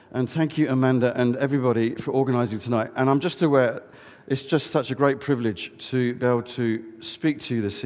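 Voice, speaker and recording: A man's voice: medium pitch, darkish tone. A middle-aged man from England giving a friendly, conversational address at a church service, with many fillers and restarts. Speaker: guest speaker, charity chief executive. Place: large old church building. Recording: reverberant hall.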